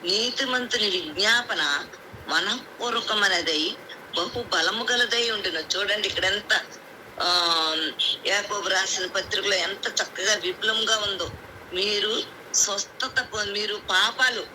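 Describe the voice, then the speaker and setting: A woman's voice: mid-range voice, bright, forceful tone. A woman gives a devotional sermon, exhorting listeners in repeated phrases and reading out scripture. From India. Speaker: prayer group speaker. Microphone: phone on conference call. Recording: noisy conference call, vehicle sounds behind.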